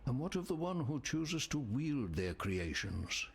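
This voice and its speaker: An actor playing a grave, scholarly man in a fantasy video game, speaking in measured, literary phrases with a husky voice. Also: mid-range voice, big pitch swings, exaggerated voice. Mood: solemn, reproachful. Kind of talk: scripted video game dialogue.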